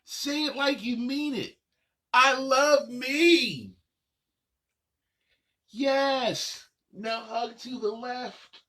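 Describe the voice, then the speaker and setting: A man hosting a children's session, guiding movement with encouraging, drawn-out instructions. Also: very high-pitched, bright, forceful tone. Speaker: children's show host leading warm-up exercises.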